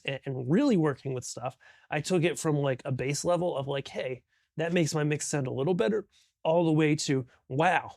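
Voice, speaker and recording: A man's voice: on the high side, a little mellow, dry recording, clean studio sound. A man explaining things as an audio instructor in an informal, conversational tutorial, thinking aloud as he goes.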